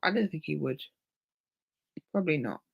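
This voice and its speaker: A woman's voice: low-pitched, lively intonation, normal speed, creaky, muffled recording, slight background noise. A woman co-hosting a casual football chat, talking hesitantly and thinking aloud. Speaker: co-host, neutral fan.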